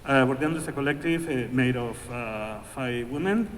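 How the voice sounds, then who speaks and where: A man interpreting live from Spanish at a panel, halting and full of fillers, correcting himself as he goes. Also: mid-range voice. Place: museum event hall. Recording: echoey hall with faint noise.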